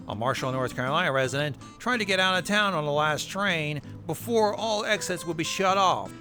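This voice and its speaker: A man's voice: a little high, pushed and bright. A man hosting a narrated recap, with wry asides and casual swearing. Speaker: video host. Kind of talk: narrated recap of horror web series. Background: music bed.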